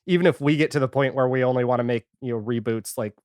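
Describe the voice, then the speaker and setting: A man's voice: mid-range voice, quick pace, darkish tone, no echo, clean studio sound. A man chatting casually as a podcast guest, enthusiastic and rambling, with lots of filler 'like'. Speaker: podcast guest, audio fiction creator. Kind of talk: casual chat.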